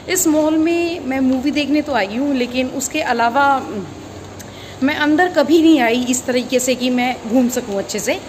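A woman's voice: high voice, slight background noise. A woman, chatting casually as she walks through a shopping mall, filming on a phone held at arm's length. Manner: chatty, relaxed walkthrough. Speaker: vlogger. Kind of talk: casual vlog narration.

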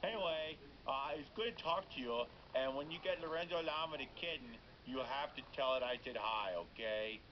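A man voicing a nervous, literal-minded llama, awkward and friendly, halting and repeating himself, as a character on a children's radio phone-in. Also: medium pitch, natural voice. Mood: friendly, earnest. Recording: muffled, noisy re-recording off a speaker.

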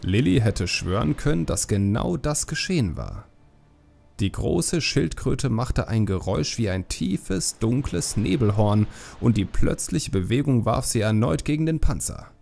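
Creaky-voiced man narrating, lively intonation. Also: medium pitch, unhurried. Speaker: audiobook narrator. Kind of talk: dramatic scripted fantasy narration.

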